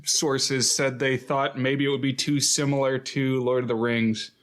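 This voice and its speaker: A man's voice: mid-range voice, little pitch movement, measured pace, fairly bright tone. Male podcast panelist. Everyday conversational delivery, casual discussion. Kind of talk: casual chat.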